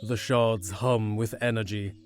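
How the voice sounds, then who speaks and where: A man's voice: fairly low pitch, fairly even intonation, measured pace, soft background music. A man narrating a scripted fantasy audio drama as its storyteller.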